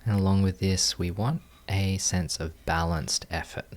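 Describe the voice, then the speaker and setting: A man leading a guided meditation, giving gentle, calm instructions in measured phrases with long pauses. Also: low voice. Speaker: meditation teacher.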